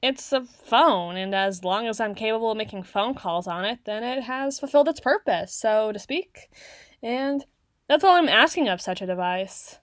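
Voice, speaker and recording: Woman acting a high-voiced haughty, flustered bookish girl. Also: lively intonation, moderate pace, no echo, studio quality, lightly acted. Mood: awkward, self-conscious. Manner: formal wordy diction, hesitant, flustered. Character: flustered tsundere teenage girl, bookish, haughty, socially awkward. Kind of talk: ASMR roleplay monologue.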